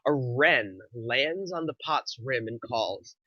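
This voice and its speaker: A man's voice: mid-range voice, lively intonation, slow pace, sharp tone, no echo. A man reading scripted stage directions as the narrator of a screenplay table read. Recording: slightly dull, dry recording.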